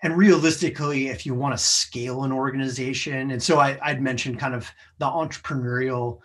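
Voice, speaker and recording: A man's voice: mid-range voice, fairly even intonation, a little fast, fairly bright tone. An American man in a podcast interview, talking conversationally as a sales professional. He explains things step by step with a mid-range voice and small checking phrases. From United States.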